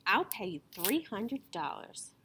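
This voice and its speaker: A woman's voice: mid-range voice, big pitch swings, slow pace, pushed and bright, a little hiss or hum, heavily acted. A woman voicing a pampered, gleeful rich lady in a storybook, gushing and imperious. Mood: eager, gleeful.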